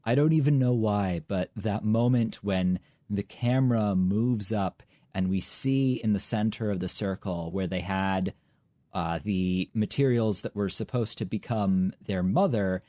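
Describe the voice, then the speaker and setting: A young man with a low, mellow voice talks over a show in casual, thoughtful podcast chat. His wit is dry and his phrasing is articulate. The recording is dry and close, with no room sound. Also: a little slow, no room sound. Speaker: podcast co-host.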